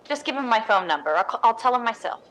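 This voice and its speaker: A woman's voice: a little high, lively intonation, quick pace, fairly bright tone. A woman as a sassy, blunt ex-vaudevillian maid. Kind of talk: recreated 1950s radio drama acting.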